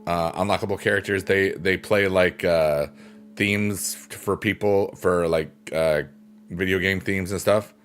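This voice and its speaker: Man, very deep voice, livestream host, rambling casual chat, lots of fillers, faint guitar music in background. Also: steady tone, a bit bright. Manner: rambling, unhurried, many fillers like "you know" and "um". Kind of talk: casual live-stream chat, reading viewer comments.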